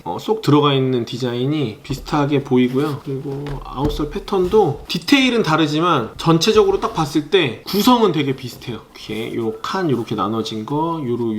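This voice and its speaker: Calm, detailed young man reviewing sneakers. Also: a little high, a little hiss or hum. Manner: calm, detailed, showing items to camera. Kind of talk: casual product review.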